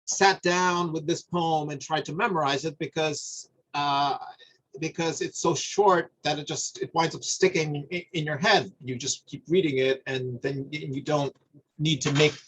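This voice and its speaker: Husky-voiced middle-aged man, halting. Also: on the high side, fairly bright tone, low-quality recording. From New Jersey, USA. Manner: thoughtful, halting, repeats words while searching. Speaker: guest. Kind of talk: reflective conversation.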